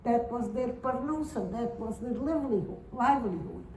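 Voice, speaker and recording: A woman in her nineties from Romania, speaking in an earnest, halting and repetitive way as she gives testimony to an audience. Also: a little high, warm tone, a little hiss or hum. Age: 90s. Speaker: Holocaust survivor giving testimony. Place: synagogue or community hall. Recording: roomy hall recording from far off.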